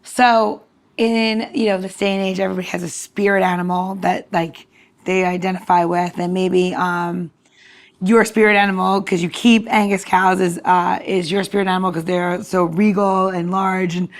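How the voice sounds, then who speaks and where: A woman's voice: lowish voice, level tone, normal speed. A woman co-hosting a podcast as its bartender, chatting casually in an informal, jokey way. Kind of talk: casual chat.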